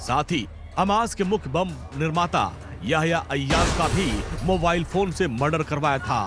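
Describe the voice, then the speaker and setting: A man's voice: fairly high pitch, normal speed. A man narrating a news feature in a dramatic, emphatic scripted style. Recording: voice-over with loud music bed.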